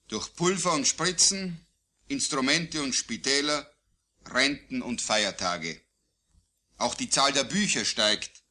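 A man reciting his own poetry solemnly, in a declamatory, deliberate way with phrases falling at the line endings. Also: medium pitch, sharp tone. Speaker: poet. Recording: digitized vintage vinyl record.

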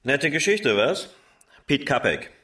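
Ein Schauspieler spielt in einem deutschen Krimihörspiel einen sachlichen, lockeren, zügig berichtenden FBI-Agenten. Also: male voice, mid-range voice, very animated, takes it slowly, bright, forceful tone, husky, exaggerated voice. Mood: businesslike. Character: matter-of-fact FBI special agent briefing a colleague. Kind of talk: German radio crime drama acting.

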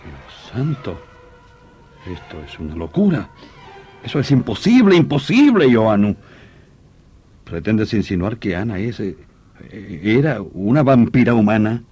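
An actor playing a shocked, disbelieving brother-in-law, grave and stammering, in the dramatic style of old radio horror. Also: male voice, fairly low pitch, very animated, a little slow, long pauses, dark tone, muffled recording, faint music behind. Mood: appalled.

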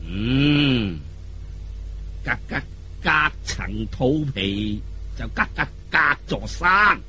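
A man's voice: mid-range voice, big pitch swings, pushed and bright, soft background music, voice pushed down, exaggerated voice. A man voicing a boastful, contrary old martial artist with a heavy stutter, blustering and drawing out his words in a storybook telling. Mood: excited, contrary. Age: older. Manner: blustering, drawn-out delivery.

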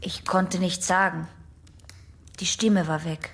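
A woman's voice: on the low side, steady tone, takes it slowly, a bit bright. A woman playing a hesitant young patient in a radio drama, answering tersely and evasively. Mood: reluctant. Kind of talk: German radio drama acting.

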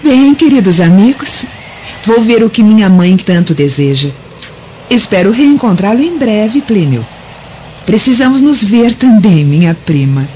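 A woman's voice: medium pitch, sing-song, a little slow, mellow. Coy, seductive Roman noblewoman. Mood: polite, coy. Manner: coy, insinuating. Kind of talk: radio drama acting. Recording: dull lo-fi radio drama, faint noise.

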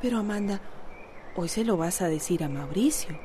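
An actress playing an anguished but resolute Costa Rican wife in a radio soap opera. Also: female voice, fairly low pitch, lively intonation, moderate pace, dark tone, a little hiss or hum, natural voice. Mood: confiding, weary. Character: anguished, resolute wife standing up to abusive husband.

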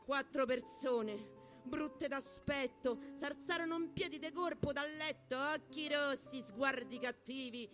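Spoken-word performer, Roman accent, theatrical and emphatic, bright high delivery of rhymed verse. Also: unhurried. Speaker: poetry performer. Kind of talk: dramatic spoken-word poetry recital over music. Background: guitar music.

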